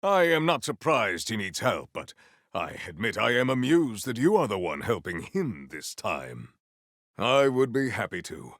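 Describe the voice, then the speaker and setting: Solemn video game warrior, gravelly, big swooping delivery, talks all formal and old-timey. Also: male voice, on the low side, very animated, unhurried, a bit bright, no room sound, clean studio sound, heavily acted. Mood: dryly amused. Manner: formal, archaic, theatrical diction. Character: grave, honour-bound male warrior, wry agent of vengeance. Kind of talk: video game character voice lines.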